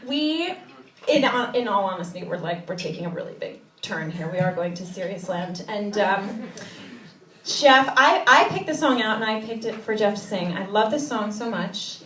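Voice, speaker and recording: A woman making informal, halting stage banter as a cabaret singer introducing a song to a live audience, thinking aloud as she goes. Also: medium pitch, animated, fairly bright tone. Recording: echoey room, distant audience recording, faint noise.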